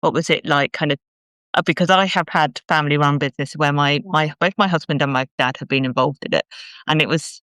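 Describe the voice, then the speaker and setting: A woman's voice: low voice, a little fast, fairly bright tone, dry recording. A British woman speaking conversationally as a podcast host. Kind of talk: conversational interviewing and promotional talk.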